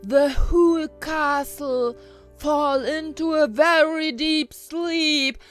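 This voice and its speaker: A woman voicing a gentle good fairy in a fairy tale, speaking solemnly and deliberately, as if casting a spell. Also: high voice, faint music behind, voice pushed up.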